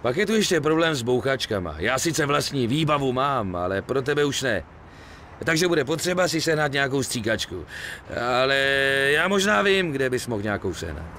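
A man's voice: medium pitch, big pitch swings, fairly bright tone, a little hiss or hum. A man voicing a weary, streetwise middle-aged gangster in a Czech video game dub. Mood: businesslike. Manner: colloquial, grumbling, swearing.

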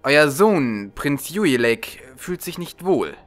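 A man's voice: on the high side, very animated, takes it slowly, soft background music. A man voicing a courtly, calculating serpent-man advisor in a formal, deferential audiobook manner.

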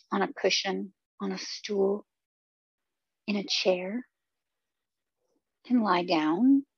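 Husky woman guiding a slow meditation. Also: mid-range voice, dry recording, muffled recording. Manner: gentle, unhurried instruction with long pauses. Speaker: yoga meditation teacher. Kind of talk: guided body scan meditation.

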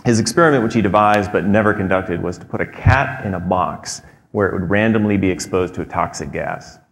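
A man in his thirties giving a prepared talk on a theater stage, measured and explanatory, with frequent fillers. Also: low voice. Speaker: presenter. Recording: long hall reverb.

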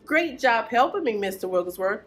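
A woman's voice: a little high, very animated, normal speed, breathy edge. A young woman hosting preschool storytime, reading aloud and chatting to small children in an encouraging, sing-song way. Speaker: library storytime host. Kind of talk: preschool storytime, picture book read aloud.

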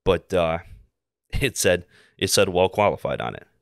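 Young American male, 20s, podcast guest. Very low, smooth voice, rambling anecdotal delivery. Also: lively intonation, dry recording, studio quality. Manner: halting, rambling, repeats himself while telling anecdotes. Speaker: podcast guest, air traffic controller. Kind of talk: casual interview storytelling. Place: small indoor room, seated. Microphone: close podcast mic on boom arm.

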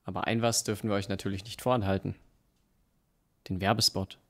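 A young man narrating an improvised audio drama as a storyteller, in deliberate phrases. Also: on the low side, flat delivery, a bit bright. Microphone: stand microphone at a table. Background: live plucked guitar music.

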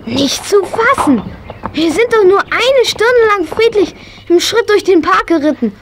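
Casting: pert, eager young girl, extremely high voice, children's radio play, faint noise behind. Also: expressive, unhurried. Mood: exhausted, pleased. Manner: exclaiming, pert. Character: spirited, eager young horse-mad girl. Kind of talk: 1980s German children's audio drama acting.

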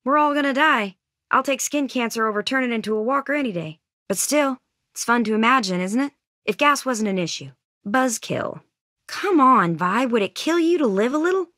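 A woman's voice: fairly high pitch, expressive, normal speed, fairly bright tone, no echo, studio quality. A woman playing an earnest, anxious teenage girl survivor in a video game, friendly and folksy but hesitant and weighed down by guilt. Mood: friendly, upbeat. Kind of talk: naturalistic video game voice acting.

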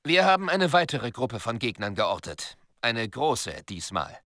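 A man's voice: mid-range voice, very animated, unhurried, noisy background. A man voicing a stern military commander in a video game, giving curt, commanding instructions. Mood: brisk, instructing. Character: stern male military commander briefing a soldier.

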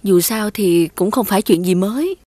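A woman voicing a meek, weary widowed mother in an animated folktale, humble and resigned as she speaks. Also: mid-range voice, normal speed, no echo, soft background music, lightly acted. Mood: sorrowful.

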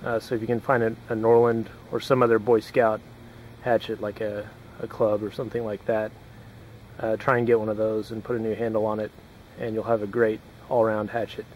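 A man's voice: lowish voice, level tone, a little mellow, a little hiss or hum, rough recording. Man demonstrating hatchet work outdoors, level voice. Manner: plain, unhurried, explaining while chopping. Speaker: woodworking instructor. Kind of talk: casual how-to demonstration. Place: outdoors in a yard by a woodpile.

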